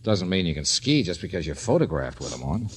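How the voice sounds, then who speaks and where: Low-voiced, husky radio private eye. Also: male voice, big pitch swings, brisk, heavily acted. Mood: musing, wry. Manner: dry, clipped, casually wisecracking. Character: cool, wry private detective, a grown man. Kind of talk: 1940s radio drama acting.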